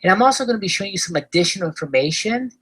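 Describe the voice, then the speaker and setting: A man with an Argentine accent giving an upbeat webinar sales pitch for his online course, often tagging his sentences with "right?". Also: expressive, racing, a bit bright, slightly rough. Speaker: course seller presenting a webinar.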